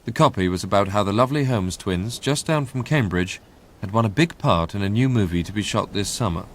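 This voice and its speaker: An actor playing a young Englishman who recounts his past in a measured, literary way for a radio drama. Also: lowish voice, expressive, a little hiss or hum, close to an everyday voice. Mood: measured recollection. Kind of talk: radio drama first-person narration.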